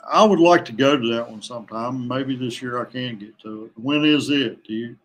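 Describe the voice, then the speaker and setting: A man with a medium-pitched voice talks as a podcast guest. His delivery is plain and conversational, as in an informal chat between hobbyists. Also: brisk, fairly bright tone, poor audio. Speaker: podcast guest, veteran kayak angler. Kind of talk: casual chat.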